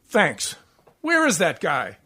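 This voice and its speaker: A man's voice: a little high, huge pitch swings, slow, sharp tone, breathy edge, full character voice. A man playing an exasperated, sarcastic jailed husband in a 1940s-style radio comedy, grumbling and sardonic. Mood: resigned irritation.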